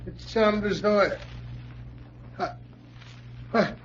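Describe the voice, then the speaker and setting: An actor playing a frail, ailing, betrayed husband who stammers and gasps, in old-time radio drama style. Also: male voice, high voice, slight background noise. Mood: shocked, indignant. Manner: halting, stammering, gasping. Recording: dull old radio recording.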